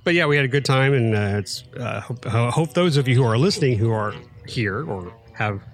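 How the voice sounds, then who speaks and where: A man co-hosting a podcast, rambling in casual chat with a loud, talkative manner. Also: medium pitch, animated, a little fast, faint music behind. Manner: chatty, rambling with restarts, self-described loud voice. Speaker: podcast co-host. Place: outdoor flying event.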